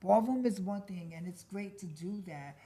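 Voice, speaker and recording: A woman co-hosting a podcast, speaking in a deliberate, reflective way and posing rhetorical questions. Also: mid-range voice, mellow, breathy edge. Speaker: podcast co-host. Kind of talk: casual chat.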